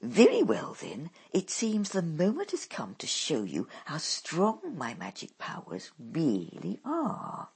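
A woman's voice: low-pitched, huge pitch swings, takes it slowly, creaky, hardly any pauses, a little mellow, husky, full character voice. A woman voicing a scornful witch stepmother. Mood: impatient. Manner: bossy, scornful, snappy.

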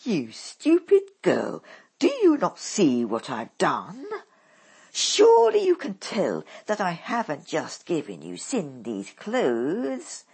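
A woman's voice: fairly low pitch, huge pitch swings, slow, a little mellow, breathy edge, voice pushed up, full character voice. A woman reading a storybook aloud, voicing a bossy, scornful stepmother witch who snaps orders at her daughters.

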